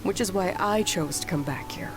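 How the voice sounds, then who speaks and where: An actress voicing a relaxed, chatty female villager in a video game, easygoing and helpful. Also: mid-range voice, a little fast, over loud music, close to an everyday voice.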